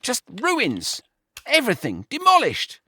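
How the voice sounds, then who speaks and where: A man's voice: very high-pitched, wildly swooping pitch, unhurried, pushed and bright, husky, no room sound, voice pushed up, exaggerated voice. A man voicing a lecherous, slovenly male pig who boasts, dismisses and wails. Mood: wailing.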